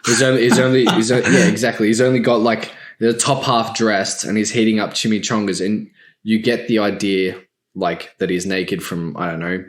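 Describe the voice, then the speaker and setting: A man's voice: on the low side, fairly even intonation, normal speed. A man in a casual group chat, talking in a blunt, rambling, slangy way. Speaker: podcast panelist.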